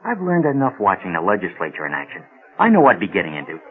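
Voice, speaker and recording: An actor playing a polite, hesitant, earnest young small-town coach in a radio drama. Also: male voice, sits low, huge pitch swings, fast talker, narrow, tinny audio. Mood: earnest, friendly. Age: young adult.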